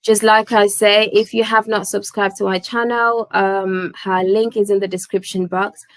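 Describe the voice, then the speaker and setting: A woman's voice: medium pitch, flat delivery, moderate pace. A woman from Gambia whose first language is Wolof, hosting a casual live stream in a chatty way, repeating words and leaning on "basically".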